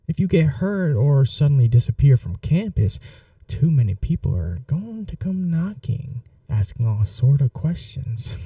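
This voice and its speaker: A man's voice: mid-range voice, creaky, dark tone, no room sound, recorded from a distance, exaggerated voice. A young man playing a smug, chatty mad scientist college roommate in an intimate ASMR audio roleplay, coaxing and condescending in a casual way. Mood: reassuring, smug.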